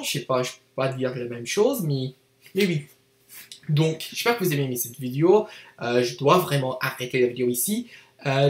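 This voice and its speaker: A man's voice: mid-range voice, a little mellow, roomy sound. A young man from Canada, a native English speaker, talking to camera in hesitant learner's French with an anglophone accent, full of fillers and self-corrections. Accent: English-speaking Canadian learner of French, with some Quebec French. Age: young adult. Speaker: vlogger. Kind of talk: unscripted casual monologue to camera. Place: small indoor room, seated in desk chair.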